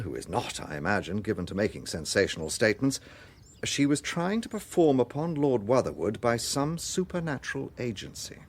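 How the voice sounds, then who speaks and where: An actor playing a shrewd, courteous gentleman police inspector in a British radio drama, with an English accent and a measured, understated delivery. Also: medium pitch, husky.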